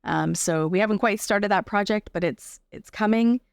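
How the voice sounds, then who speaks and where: A woman with a Canadian accent talks in a casual, thoughtful interview style. Her pitch sits in the middle of the usual female range and the sound is dry and close. She explains things informally and reflectively. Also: moderate pace, creaky, no echo. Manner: informal, thoughtful, explains through examples and rhetorical questions.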